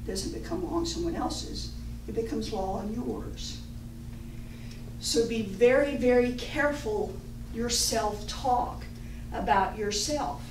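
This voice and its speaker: A woman delivers a spiritual talk at a slow, emphatic pace, repeating phrases for weight. She is recorded from a distance in an echoey room, with noticeable noise behind her. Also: on the high side, animated, darkish tone. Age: 50s. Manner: deliberate, emphatic, repetitive phrasing. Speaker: spiritual teacher. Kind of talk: inspirational teaching to a congregation. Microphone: distant microphone.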